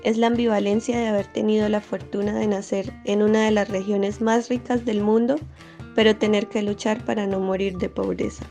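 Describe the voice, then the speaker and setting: A woman's voice: mid-range voice, little pitch movement, normal speed, creaky, dark tone, soft background music. A woman with a Colombian accent giving a prepared personal statement.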